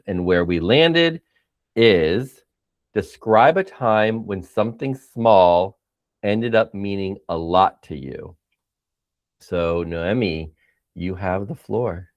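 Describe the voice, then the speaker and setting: Lower-voiced male podcast host. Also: dry recording. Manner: friendly, informal, brief remarks. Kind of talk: casual interview.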